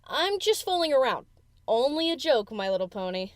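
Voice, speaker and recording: Brash young female pony DJ, played by a woman, animated and slangy, fan audio drama, close dry recording. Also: high-pitched, normal speed, no room sound. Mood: teasing. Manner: casual, slangy, cheeky.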